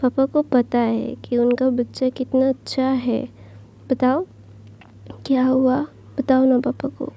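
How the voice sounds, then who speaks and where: A woman voicing a gentle father comforting his son in a soothing way. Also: sits high, little pitch movement, slow pace, vocal fry, exaggerated voice. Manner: soothing, reassuring.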